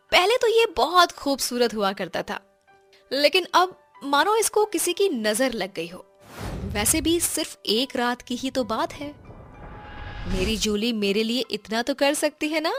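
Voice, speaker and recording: Woman doing a calm cartoon mom, coaxing, unhurried, bright voice. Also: a little high. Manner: soothing, coaxing. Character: calm, reassuring middle-aged mother. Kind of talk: animated storybook dubbing.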